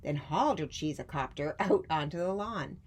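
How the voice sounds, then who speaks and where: A woman reading a children's storybook aloud with measured, dramatic emphasis. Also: low voice, animated, darkish tone. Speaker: storytime reader. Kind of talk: expressive children's storybook narration.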